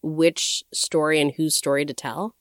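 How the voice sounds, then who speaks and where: A woman's voice: deep voice, level tone, unhurried, no room sound, clean studio sound. A woman hosting a conversational interview, putting questions to her guest. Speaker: host, interviewer.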